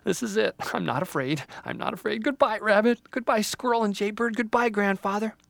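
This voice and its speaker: A man's voice: high voice, a little fast, creaky, a bit bright, dry recording, voice pushed up. A man voicing a small young female tree, hopeful and insecure, with a wide-eyed earnest manner, for a children's story. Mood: brave.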